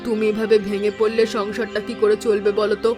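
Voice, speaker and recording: A woman's voice: medium pitch, little pitch movement, fast talker, lightly acted. Steadfast, kindly village mother for a moral cartoon played by a woman, level intonation, faint music. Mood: soothing. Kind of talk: animated moral story voice acting.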